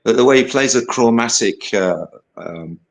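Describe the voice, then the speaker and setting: An older British man, a singer-songwriter guest with a low baritone voice, talking in a rambling, hesitant way full of fillers. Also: lively intonation, moderate pace, gravelly. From United Kingdom. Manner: rambling, hesitant, many fillers and "you know". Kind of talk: casual interview chat.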